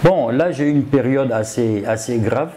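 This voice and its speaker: A man's voice: medium pitch, moderate pace, mellow, a little hiss or hum. A man from Côte d'Ivoire, telling his own story emphatically as an interview guest. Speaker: interview guest, self-taught property developer.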